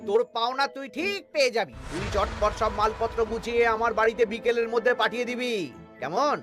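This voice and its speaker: A woman's voice: on the high side, fairly quick, over music, lightly acted. A woman voicing a pompous, greedy, bossy male royal minister in an exaggerated cartoon style. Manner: bossy, repeats words.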